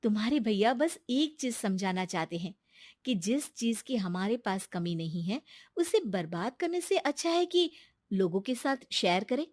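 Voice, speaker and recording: A woman voicing a caring, peacemaking young wife in a cartoon moral story, gently moralizing. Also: medium pitch, lively intonation, moderate pace, dry recording, studio quality, close to an everyday voice. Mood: reassuring.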